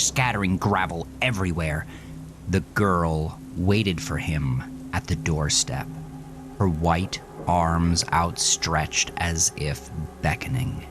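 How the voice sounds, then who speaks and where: A man narrating a horror story with slow, intense delivery. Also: sits low.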